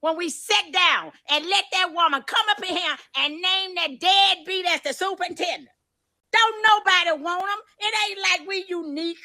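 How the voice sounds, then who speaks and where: A woman's voice: very high-pitched, normal speed, pushed and bright. A woman making an indignant public comment in emphatic, plain-spoken slang.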